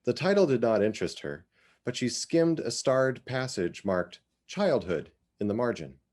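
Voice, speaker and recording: A man giving a dramatic reading of literary fiction, with expressive and deliberate phrasing. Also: medium pitch.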